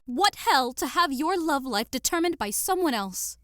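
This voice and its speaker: A woman's voice: sits high, normal speed, no room sound, studio quality. Actress as an earnest, romantic young woman. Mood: dramatic dismay. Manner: earnest, expressive, theatrical. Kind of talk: modernized Shakespeare audio drama acting.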